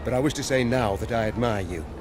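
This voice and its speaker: A loyal, reverent soldier of rank in a fantasy game, acted by a man. His medium-pitched voice is formal and earnest. Clear background noise surrounds it. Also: fast, lightly acted. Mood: earnest admiration. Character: earnest, loyal, respectful male knightly officer. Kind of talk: dramatic video game acting. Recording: noisy background ambience.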